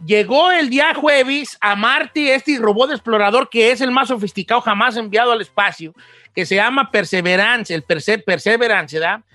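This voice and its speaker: A man's voice: extremely high pitch, normal speed, pushed and bright, dry recording. A man voicing a folksy old Mexican ranchero radio host who jokes and stammers, with a Mexican Spanish accent. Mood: folksy joking. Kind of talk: comedic radio chat.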